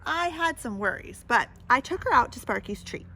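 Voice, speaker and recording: A woman reading a children's picture book aloud in an animated way, pausing to put questions and little comments to young listeners. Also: on the high side, husky, poor audio. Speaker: storybook reader.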